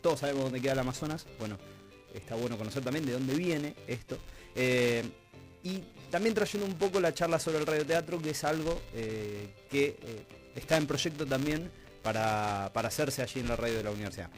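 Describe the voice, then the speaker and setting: A man's voice: medium pitch, brisk, faint music behind, poor audio. A man with an Argentine Rioplatense accent hosting a conversational radio interview, reflective, building his points in short repeated phrases. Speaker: radio host. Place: radio studio.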